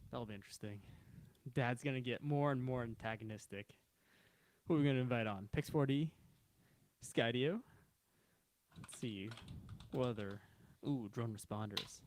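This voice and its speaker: A man's voice: mid-range voice, moderate pace, long pauses, no echo. Casual male podcast co-host, dry close sound. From China. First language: Mandarin. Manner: relaxed, conversational, profane banter.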